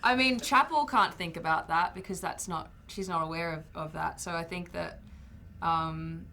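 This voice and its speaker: A woman's voice: fairly low pitch, normal speed, vocal fry, sharp tone. A woman actor speaking in a casual roundtable interview, thoughtful and a little hesitant. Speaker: actor guest.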